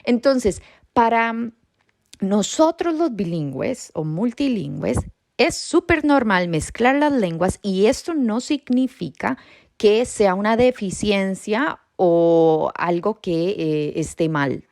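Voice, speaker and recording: A woman's voice: medium pitch, animated, takes it slowly, darkish tone, no echo, studio quality. A woman from Costa Rica with a Costa Rican Spanish accent, hosting an educational podcast in a reassuring tone, often checking in with a rising "¿sí?". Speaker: podcast host and bilingualism educator. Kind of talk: informal educational podcast talk.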